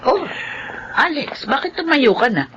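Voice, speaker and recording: A woman playing an anxious, questioning village mother in a Tagalog horror radio drama, expressive and fretful. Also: high-pitched, a little slow, dull audio, background noise. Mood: worried, questioning.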